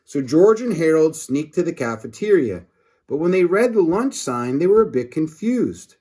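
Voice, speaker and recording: A man's voice: a little high, sing-song, normal speed, mellow, slightly rough. A man reading a children's story aloud expressively, with short asides to his listeners. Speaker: storybook reader. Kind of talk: animated children's read-aloud narration.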